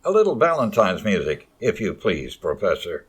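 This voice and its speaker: A man's voice: sits low, huge pitch swings, moderate pace. A man playing a showy old-time radio announcer, theatrical and emphatic. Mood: wry, theatrical. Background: music.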